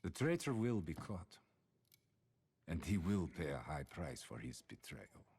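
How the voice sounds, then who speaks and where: A man playing a smooth-talking, menacing Soviet security investigator in a video game, measured and theatrical, politely threatening with a husky voice. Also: sits low, sing-song, a little mellow, heavily acted. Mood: coolly menacing. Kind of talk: cinematic video game acting.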